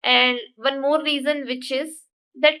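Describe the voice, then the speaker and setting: Woman, Indian English accent, Hindi native speaker, high voice, earnest teacher, repeats her points. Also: steady tone, a little slow, sharp tone. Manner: earnest, repeats phrases, simple learner's English. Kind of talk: motivational talk to learners.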